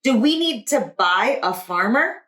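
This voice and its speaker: A woman's voice: a little high, lively intonation, a little slow, a bit bright. A woman voicing an eager, curious, literal-minded little girl in a storybook, full of questions and exclamations. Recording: roomy echo.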